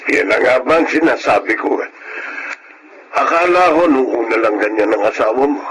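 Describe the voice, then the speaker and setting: A medium-pitched male voice with faint noise behind it: an actor as an older Filipino father. He is earnest, principled and admonishing, delivering counsel in radio drama style. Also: lively intonation, normal speed, natural voice. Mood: stern concern. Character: concerned, principled older father giving advice.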